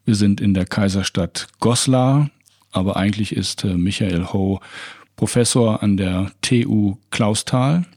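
A man's voice: on the low side, a little slow, a little mellow, husky. A man hosting an interview in a familiar, conversational way. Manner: familiar, addresses guest informally. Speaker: interview host.

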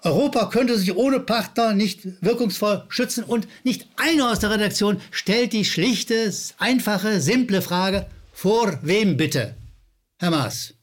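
A man with a slight Rhineland German accent speaks as the host of a critical commentary show. His voice sits a little higher than most men's. He addresses his viewers with sardonic emphasis, often repeating a word to drive it home. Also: slow pace.

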